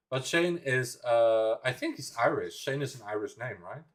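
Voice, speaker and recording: A young man from the Netherlands talks loosely on a livestream, wandering from thought to thought with frequent laughs. His voice sits at an ordinary middle pitch. He chats easily, as if to viewers he knows. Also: expressive, unhurried. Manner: rambling, chatty, laughs often, reads chat aloud. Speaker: livestream reaction host. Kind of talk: casual livestream chat.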